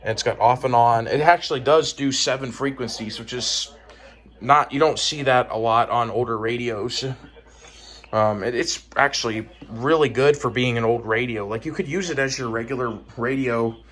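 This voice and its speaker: A man's voice: medium pitch, normal speed, fairly bright tone. A man giving a casual, unscripted show-and-tell as a hobbyist collector, in a hesitant, rambling, plain-spoken way. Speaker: hobbyist collector presenting weather radios.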